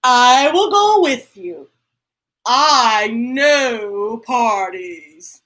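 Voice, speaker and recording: A woman's voice: a little high, lively intonation, a bit bright, full character voice, low-quality recording. A woman voicing an earnest, cautious storybook elephant who speaks emphatically, drawing out the words. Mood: earnest, serious. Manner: emphatic, drawn-out delivery. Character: earnest elephant friend, cautious, self-assured about parties.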